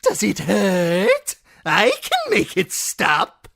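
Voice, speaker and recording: A man voicing a cruel, lewd male jester who crows and taunts in a shrill sing-song. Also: wildly swooping pitch, very slow, gravelly, fairly bright tone, exaggerated voice. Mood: mocking.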